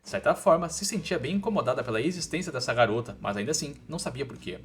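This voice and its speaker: A man's voice: mid-range voice, expressive, fast. A man with a Brazilian accent narrating a scripted comic recap in an informal, slangy storytelling manner.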